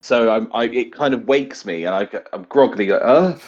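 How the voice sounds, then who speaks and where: A man with a fairly low voice improvises comic narration as a player in a roleplay game. He rambles, circling back over his phrases. The recording sounds slightly muffled. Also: brisk, darkish tone, slight background noise.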